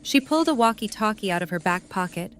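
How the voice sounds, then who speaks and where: A woman reads scripted story narration in a medium-pitched voice. She works as the narrator of an audio drama, with faint noise in the background. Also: expressive, fast talker.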